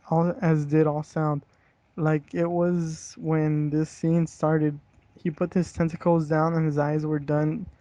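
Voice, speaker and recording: Young man, flat-toned, on muffled echoey audio. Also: on the high side. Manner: stumbles over words while reading, casual slangy swearing when chatting. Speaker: reader of a scary internet story. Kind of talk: amateur horror story reading, casual chat with friends.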